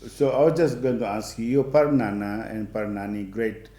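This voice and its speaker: A male TV host opening and guiding an interview, often pausing on fillers. His low, mellow voice carries room echo, with faint ambience behind it. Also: steady tone. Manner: hesitant, many fillers. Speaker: TV show host. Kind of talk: interview hosting.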